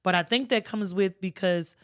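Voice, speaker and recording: A middle-aged woman with a smooth, clear and strong voice. She speaks as a guest in a conversational interview, colloquial and emphatic, making her points plainly. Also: mid-range voice, moderate pace, fairly bright tone. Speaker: podcast guest, army first sergeant.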